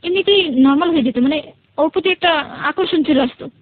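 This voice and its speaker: A woman with a notably high voice and a Bangladeshi accent tells a personal story as a radio guest. She speaks colloquially and haltingly, often circling back over her own phrases. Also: narrow, tinny audio. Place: radio studio.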